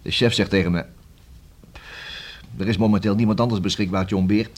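An actor in a Dutch radio play playing a methodical, collegial senior police detective who explains things conversationally, with small hesitations. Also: male voice, lowish voice, steady tone, brisk, lightly acted. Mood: chatty, musing.

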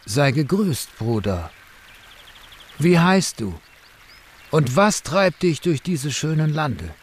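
A man's voice: fairly high pitch, big pitch swings, slow, a little hiss or hum. A man playing a solitary, stubborn monk in a children's radio play, with a savoring, emphatic delivery. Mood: reserved greeting. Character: solitary Buddhist monk, content but grudging and stubborn.

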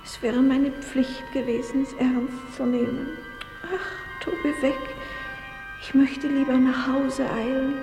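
A woman playing a gracious, devout upper-class lady in an old German radio play, measured and refined, sometimes hushed. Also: high-pitched, level tone. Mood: devout, wistful. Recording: echoey vintage radio play, long reverb. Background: music.

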